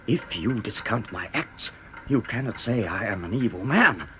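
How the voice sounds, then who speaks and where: A man's voice: lowish voice, lively intonation, normal speed, lightly acted. An actor playing a tormented, guilty murderer in his thirties, anguished yet defiant, speaking earnestly and declamatorily in a radio drama. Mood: anguished pleading. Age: 30s. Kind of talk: dramatic British radio play acting.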